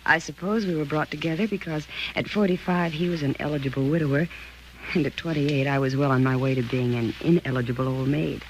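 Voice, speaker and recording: A woman's voice: sits low, steady tone, a little fast, lightly acted. An American actress playing a frightened, haunted young woman in a 1940s radio thriller, with intense, melodramatic delivery. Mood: wistful, reflective. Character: frightened, haunted 28-year-old woman recalling her marriage. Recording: noisy vintage 1940s radio recording.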